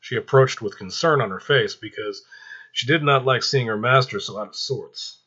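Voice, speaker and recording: A man's voice: mid-range voice, normal speed, sharp tone, slightly rough, dull audio. A man reading a story aloud as a storyteller, in dramatic scripted narration.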